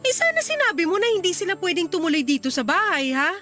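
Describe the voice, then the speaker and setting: Shrill, high-pitched indignant Filipino wife. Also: female voice, sing-song, quick pace, sharp tone, soft background music, exaggerated voice. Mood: tense, suspicious. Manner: emphatic, scolding. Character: angry young wife and mother, indignant, confrontational. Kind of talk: radio drama acting.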